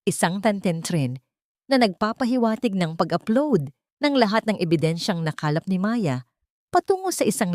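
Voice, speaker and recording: A woman narrating a scripted dramatic story in a measured, solemn manner. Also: lowish voice, darkish tone, dry recording, clean studio sound.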